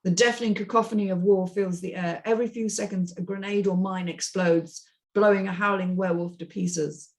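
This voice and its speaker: Woman, medium pitch, screenplay narration, reading action lines, script panel host. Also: steady tone, brisk.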